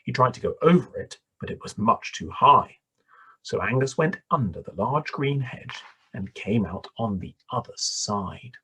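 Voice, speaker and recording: A man reads a children's picture book aloud. His voice is markedly husky and breathy, and his pitch moves around expressively. He takes his time, pausing for drama as a storyteller does. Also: rough recording. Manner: animated, deliberate, dramatic pauses. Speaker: storybook reader.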